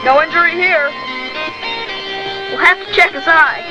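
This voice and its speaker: A woman playing an excited child at rescue play in a 1970s toy commercial. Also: very high-pitched, unhurried, over music, rough recording. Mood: urgent, excited.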